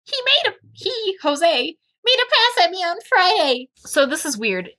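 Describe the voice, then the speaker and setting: A woman's voice: very high voice, very animated, moderate pace, pushed and bright. A woman hosting a podcast, discussing a book casually and reading out quotes with an opinionated, analytical manner. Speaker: podcast host.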